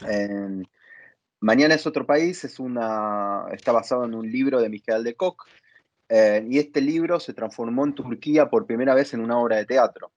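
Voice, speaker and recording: A man hosting an interview as a dramaturge, speaking haltingly with pauses as he phrases his thoughts. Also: medium pitch, darkish tone, no room sound. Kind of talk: hosting an interview, partly reading from notes. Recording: dull lo-fi dry sound.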